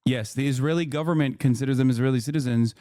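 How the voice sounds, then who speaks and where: A man from Turkey, a native Turkish speaker, hosting a live debate and explaining at length in a patient, deliberate way. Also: mid-range voice, dark tone, dry recording, studio quality.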